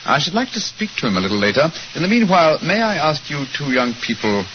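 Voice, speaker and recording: A keen, self-assured detective, laying out his reasoning crisply and precisely. His delivery rises and falls with lively expression. It is a 1940s radio drama recording, muffled and lo-fi with a faint hiss underneath. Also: fairly high pitch, fast. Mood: deducing. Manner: crisp, precise, lecturing deductions.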